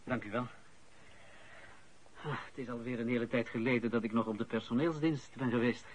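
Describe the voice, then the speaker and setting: An actor playing a deferential, ambitious office employee in a radio drama, formal and eager to please. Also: male voice, mid-range voice, steady tone, brisk, background noise, natural voice. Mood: hesitant, polite. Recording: dull lo-fi radio play recording.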